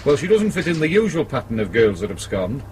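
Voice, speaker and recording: Actor as a quick-talking British junior detective. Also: male voice, mid-range voice, sing-song, noisy background, lightly acted. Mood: matter-of-fact. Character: deferential, methodical junior police detective. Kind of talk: radio drama acting.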